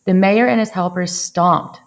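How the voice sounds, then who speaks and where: Woman, lowish voice, creaky edge, expressive children's read-aloud, dramatic storyteller delivery. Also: unhurried. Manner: dramatic, acts out exclamations. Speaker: storyteller reading a picture book aloud.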